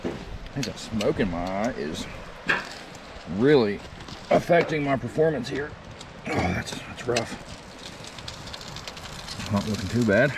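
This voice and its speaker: A man in his thirties talking to the camera while cooking outdoors at a wooded campsite, rambling and easygoing as he thinks out loud. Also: mid-range voice, lively intonation, slow pace, long pauses, background noise. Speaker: camping cook vlogger.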